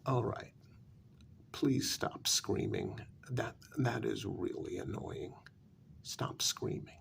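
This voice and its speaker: A man playing a jaded, irritable vampire in a soft-spoken ASMR role-play, with weary sarcasm and a gravelly, half-whispered voice. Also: lowish voice, slight background noise, heavily acted. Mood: exasperated. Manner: slow, deliberate, weary sarcasm. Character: jaded, irritable male vampire hiding a hideous face.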